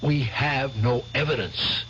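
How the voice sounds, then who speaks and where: An actor playing a fellow war veteran who argues earnestly for the law, in a 1970s radio drama. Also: male voice, medium pitch, takes it slowly, a bit bright, slight background noise. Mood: pleading. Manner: earnest, pleading. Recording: old lo-fi radio broadcast.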